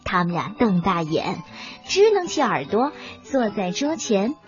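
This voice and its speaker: A woman narrating a children's story as an animated storyteller, reading from a script. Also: medium pitch, measured pace. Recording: band-limited dull sound with music behind.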